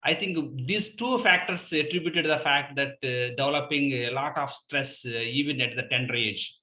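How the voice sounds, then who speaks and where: A man's voice: mid-range voice, normal speed, sharp tone. A man with an Indian English accent hosting and moderating a video call session, speaking formally and courteously to the audience. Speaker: host and moderator. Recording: echoey room.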